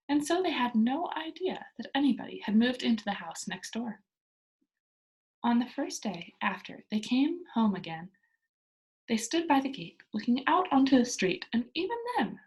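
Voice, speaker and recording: A woman reading a children's story aloud as narrator, in a deliberate, expressive storytelling manner. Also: fairly high pitch, poor audio.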